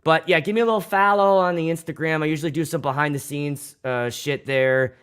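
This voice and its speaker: A man's voice: a little high, steady tone, a little fast, a bit bright, clean tone. A young man from Pittsburgh with a Pittsburgh accent, hosting a casual livestream as a DJ, rambling, swearing freely and restarting his sentences. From Pittsburgh, USA. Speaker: DJ and livestream host.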